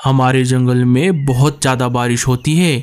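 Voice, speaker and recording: A man's voice: mid-range voice, fairly even intonation, normal speed, a little mellow, clear, resonant tone, natural voice. A man voicing a friendly, naive, obliging male crow in a Hindi cartoon story.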